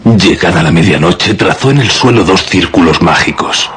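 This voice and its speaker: An actor playing a solemn, fearful shepherd in radio drama, speaking portentously and emphatically. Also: male voice, deep voice, lively intonation, moderate pace, background noise. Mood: ominous, solemn. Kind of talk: Spanish radio drama acting.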